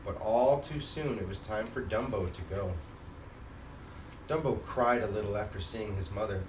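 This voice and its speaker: A man reading a children's storybook aloud as a father and host, in an expressive manner. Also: on the low side, normal speed. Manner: expressive, acts out character lines. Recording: roomy, distant mic, faint background noise.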